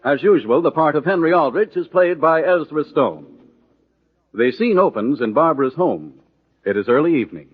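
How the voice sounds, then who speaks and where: Man, vintage radio announcer, highish lively voice, promotional, thin phone-like archive sound. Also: normal speed, breathy edge. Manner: showy, promotional. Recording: tinny 1930s radio recording with music.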